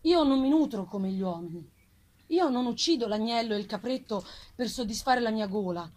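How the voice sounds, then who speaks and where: A woman's voice: on the high side, animated, moderate pace, a little mellow. A woman voicing a wretched, vengeful male monster, pleading eloquently in a solemn, theatrical gothic reading. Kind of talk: dramatic gothic novel reading. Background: live plucked guitar music.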